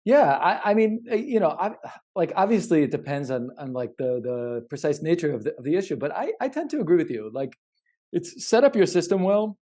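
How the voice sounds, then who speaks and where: A man co-hosting a casual podcast, speaking earnestly and haltingly, often repeating words as he thinks. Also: a little high, lively intonation, mellow. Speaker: podcast co-host.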